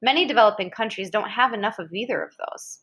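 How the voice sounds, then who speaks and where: American woman with a highish voice reading an article out loud, chatty little asides here and there. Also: fast talker, slightly rough. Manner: conversational, interjects short reactions. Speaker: reader of an article aloud to a child. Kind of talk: read-aloud of an educational article with casual asides.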